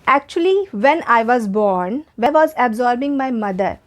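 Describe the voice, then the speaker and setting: A woman's voice: on the high side, animated, normal speed. A young woman with an Indian English accent whose first language is Hindi. She gives an earnest, encouraging spoken English lesson and repeats her points for emphasis. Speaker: English teacher.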